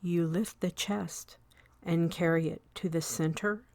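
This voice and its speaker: A woman narrating a scripted guided meditation in a soothing, measured way, with a soft voice that often fades toward a whisper and long pauses between short phrases. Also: fairly low pitch, steady tone, no room sound.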